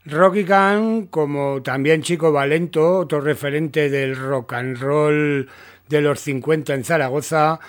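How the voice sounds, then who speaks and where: Higher-pitched Spanish man hosting music radio. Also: measured pace. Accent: Spain. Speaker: radio host of a vintage music show. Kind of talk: music radio presenting, semi-scripted.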